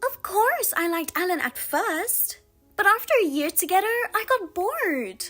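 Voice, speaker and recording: A woman's voice: very animated, pushed and bright, soft background music, full character voice. A woman voicing a smug, taunting younger sister. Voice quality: high and sing-song, taunting. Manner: mocking, self-satisfied, drawn-out teasing. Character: smug, spiteful younger sister who steals husbands. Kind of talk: narrated drama voice-over.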